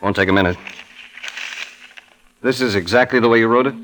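A low-voiced, world-weary police detective played by a man in a 1940s radio drama. He talks in clipped, understated lines, like a terse cop. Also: a little fast, fairly bright tone, slight background noise, natural voice, poor audio. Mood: businesslike.

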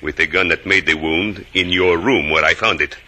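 An actor playing a world-weary, drily menacing police inspector with a Spanish accent and formal, deadpan phrasing, in 1940s radio style. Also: male voice, low voice, normal speed, full character voice. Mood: accusing.

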